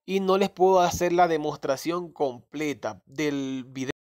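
A man's voice: on the high side, a little slow, a little mellow. A man casually talking through a phone screen recording, rambling and correcting himself as he explains a crypto game. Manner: rambling, self-correcting, mild swearing. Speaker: crypto gaming youtuber.

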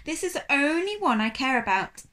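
Woman as an eager, very high-pitched girl. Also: sing-song, brisk, bright, forceful tone, exaggerated voice. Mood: urgent, pleading. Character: eager, anxious young girl, pleading and insistent.

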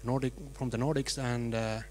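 Young man, businesslike, even tone. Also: medium pitch, moderate pace, slight background noise. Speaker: organization representative. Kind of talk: self-introduction. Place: meeting room. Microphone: handheld mic.

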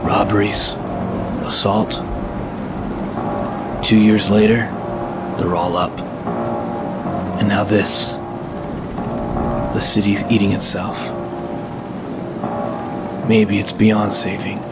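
A man playing a brooding masked vigilante, narrating a noir audio drama in a grave, deliberate, terse way. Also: on the low side, flat delivery. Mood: weary, brooding. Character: brooding masked male vigilante haunting a crime-ridden city. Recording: loud music with rain and thunder behind. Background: loud cinematic music, rain, thunder.

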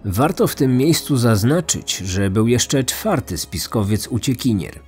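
Casting: male true crime podcast narrator, medium pitch, faint music underneath. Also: expressive, unhurried, darkish tone.

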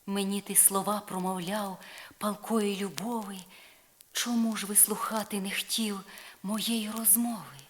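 A woman's voice: medium pitch, level tone, a little slow, lightly acted. A woman reciting dramatic poetry as a sorrowful, pleading young maiden in love, plaintive and lyrical, on a crackly vinyl record. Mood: tender reproach. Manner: plaintive, yearning, lyrical delivery.

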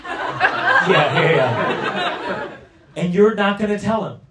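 A man in his thirties, a stand-up comedian doing crowd work on a club stage with a handheld mic, talking in an animated, incredulous way. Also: high voice, extremely slow, recorded from a distance, background noise. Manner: animated, incredulous, laughing often. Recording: roomy club sound with crowd laughter.

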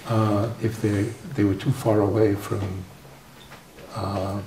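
An older man speaking softly as a guest on a discussion panel, halting with many fillers and restarts. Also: on the low side, little pitch movement. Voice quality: soft-spoken. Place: formal room with audience. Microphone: table microphone. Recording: roomy, distant mic, faint noise.

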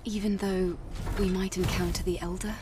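An actress playing a resolute young woman warrior who speaks quietly and tersely. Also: lowish voice, little pitch movement, normal speed, distant mic, heavy background noise, lightly acted. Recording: off-mic, with game music.

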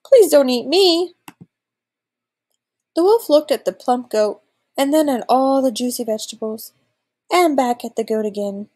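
A woman's voice: fairly high pitch, lively intonation, normal speed, mellow. A woman in her thirties reading a children's storybook aloud expressively, like a teacher.

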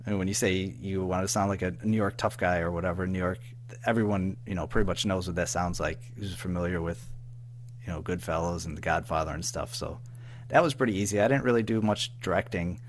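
A man with a fairly deep voice chats as an interview guest. He speaks in a halting way, repeating words and letting phrases trail off. A faint hum sits under the recording. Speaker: audio drama writer-producer, interview guest. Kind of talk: casual interview chat. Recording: faint background noise and hum.

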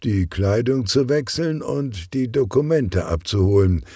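Male narrator as gangster boss, smug and businesslike, lively intonation, mellow, children's audiobook crime story. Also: mid-range voice, measured pace, slightly rough, no room sound, clean studio sound, pitched above the performer's own voice. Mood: self-satisfied, tired. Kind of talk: audiobook character dialogue.

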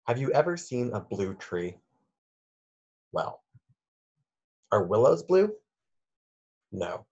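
A man's voice: medium pitch, very animated, slow, long pauses, darkish tone, dull audio. A man reading a children's picture book aloud in simple phrases, with lilting questions for young listeners.

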